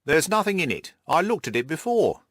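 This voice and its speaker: A man voicing an excitable, cocksure police detective in an audiobook, emphatic and scornful. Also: fairly high pitch, fairly quick, no room sound, studio quality, voice pushed up. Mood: indignant, scornful. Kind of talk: audiobook character acting.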